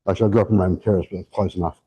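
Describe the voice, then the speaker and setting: A man's voice: sits low, mellow, husky. A man introducing himself in a wry, laughing way at a club meeting. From Australia. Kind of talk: casual self-introduction.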